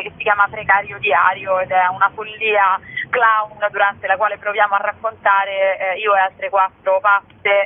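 A woman speaking spontaneously as a festival curator in an interview, sometimes searching for words mid-phrase, over a telephone. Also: mid-range voice. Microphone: telephone call. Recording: narrow, tinny telephone line.

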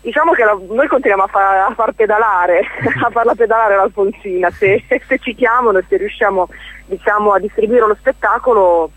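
A woman's voice: medium pitch, fairly quick, fairly bright tone, no echo, poor audio. A woman answers interview questions conversationally, often hesitating and rephrasing as she explains. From Romagna, Italy. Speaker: stage actress, interview guest.

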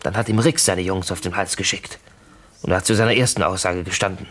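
An uneasy male official in a radio drama. He speaks in a fairly low voice, measured and confidential, as if giving a report. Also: steady tone, fairly bright tone. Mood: worried. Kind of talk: German radio crime drama acting.

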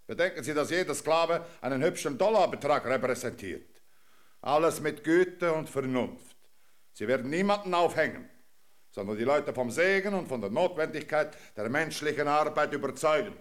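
Man playing a smug, higher-voiced colonial landowner. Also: lively intonation, moderate pace, close to an everyday voice. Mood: smugly moralizing. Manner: self-satisfied, declamatory, smoothly pompous. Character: smug, profit-minded colonial landowner and captain. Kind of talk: German radio drama acting.

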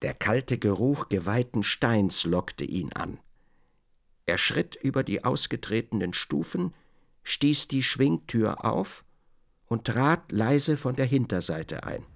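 Radio drama narrator: literary, measured and observant, varied melody, some fry in the voice. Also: male voice, medium pitch, expressive.

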